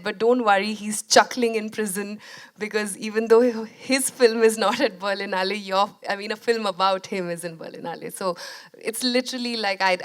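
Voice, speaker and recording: A young woman with an Indian accent, a native Bengali speaker, answering audience questions as a filmmaker in a thoughtful, halting way with many restarts. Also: medium pitch. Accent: Indian English. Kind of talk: post-screening Q&A.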